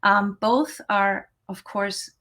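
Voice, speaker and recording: A woman's voice: medium pitch, steady tone, a bit bright. Earnest woman giving keynote online. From Austria. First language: German. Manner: earnest, emphatic, halting with restarts. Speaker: keynote speaker. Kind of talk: academic keynote address. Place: remote, online conference. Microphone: video call.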